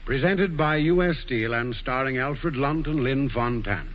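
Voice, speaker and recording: A dull vintage radio recording with faint noise carries a male announcer. He reads formally from a script in a medium-pitched voice. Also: unhurried. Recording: muffled, faintly noisy 1940s radio broadcast.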